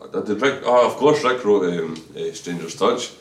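A man's voice: fairly low pitch, lively intonation, moderate pace. A Scottish man, a drummer, chatting candidly in an interview with frequent stammered restarts. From Scotland. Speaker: drummer guest. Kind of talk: casual interview chat. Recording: echoey room from a distance.

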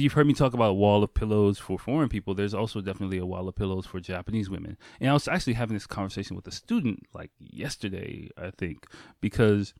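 A man with a fairly deep voice talks as the host of a casual solo podcast. His delivery is informal and conversational, with loose swearing. The sound is dry, with very little room in it. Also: darkish tone, no echo. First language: English.

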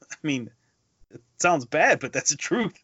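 A man's voice: fairly high pitch, very animated, measured pace, a bit bright, husky, no room sound. A man co-hosting a casual movie podcast, speaking emphatically and repeating himself to drive points home. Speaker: podcast co-host. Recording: dull lo-fi podcast audio.

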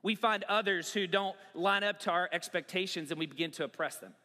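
Bright high-voiced man preaching in a hall. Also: fairly quick. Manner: conversational preaching with pop-culture asides. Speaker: pastor. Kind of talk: sermon.

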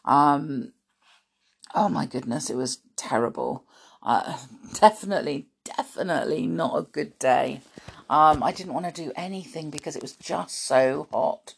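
A woman's croaky, gravelly morning voice, slow and unhurried, talking to children in a chatty way. Her pitch sits low but swings widely as she muses aloud, and she scatters ums and soft laughs between her thoughts. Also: lively intonation. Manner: warm chatty aside-filled talk with many ums and little laughs. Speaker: children's podcast host and storyteller. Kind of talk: chatty spoken intro to children's story podcast.

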